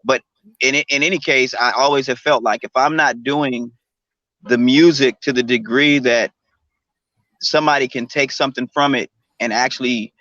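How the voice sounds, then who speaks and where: A man's voice: mid-range voice, fairly even intonation, moderate pace, bright, forceful tone, no echo. A forty-year-old man from Texas, a musician guest chatting casually and often asking "you know what I mean". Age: 40s. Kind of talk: casual interview chat. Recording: dull lo-fi dry sound.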